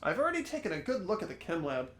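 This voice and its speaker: A man's voice: high voice, huge pitch swings, rapid-fire, a bit bright, full character voice. An amateur actor voicing an earnest teenage boy protagonist in a visual novel game, thinking aloud as he investigates. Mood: curious, concerned. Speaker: host of the playthrough. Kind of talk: fan-dubbed visual novel game narration.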